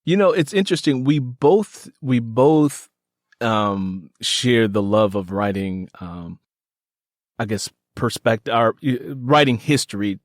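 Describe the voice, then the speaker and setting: A man's voice: medium pitch, lively intonation, slow, no echo. Enthusiastic man hosting, dry close sound. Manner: enthusiastic, emphatic, repeats phrases. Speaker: podcast host interviewing an author. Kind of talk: casual conversational interview.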